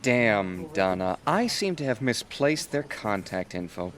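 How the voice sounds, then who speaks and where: A man's voice: lowish voice, big pitch swings, unhurried. A man playing a sheepish, put-upon office worker in an audio comedy, hesitant and evasive. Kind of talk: audio theatre comedy acting.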